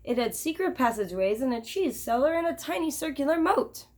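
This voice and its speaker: A woman reads a picture book aloud as a teacher would for children. Her voice sits fairly high and moves up and down a great deal. She is expressive and drops in chatty asides to her young listeners. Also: brisk.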